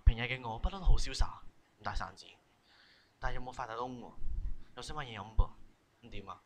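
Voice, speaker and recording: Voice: medium pitch, quick pace, a little hiss or hum, lightly acted. A performer of unclear sex playing a glum student who sighs over small everyday problems in an amateur radio skit, plaintive in manner. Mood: glum, complaining. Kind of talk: comic skit acting for a school radio drama.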